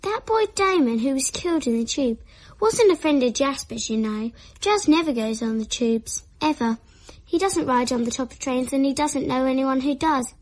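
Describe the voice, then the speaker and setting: High-voiced, insistent young child. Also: female voice, animated, normal speed, poor audio. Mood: earnest. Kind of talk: radio drama acting.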